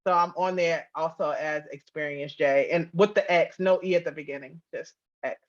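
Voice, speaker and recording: A woman's voice: sits low, fairly even intonation, moderate pace. Casual woman guest on slightly dull audio. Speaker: guest, audio drama writer and producer. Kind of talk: casual interview chat.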